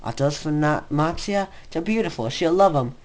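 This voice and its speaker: A man's voice: on the high side, animated, moderate pace, pitched above the performer's own voice. A man voicing an astonished young man who questions his friend earnestly, in a fan fiction reading with background noise. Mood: excited surprise. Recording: noisy home recording.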